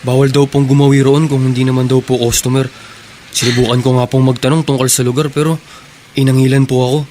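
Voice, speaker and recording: An actor playing a respectful young son in a Tagalog radio drama, polite and matter-of-fact, with a Filipino accent. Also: male voice, mid-range voice, little pitch movement, fast talker, a little mellow, slight background noise, natural voice. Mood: earnest, doubtful. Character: respectful, doubtful young son helping his mother.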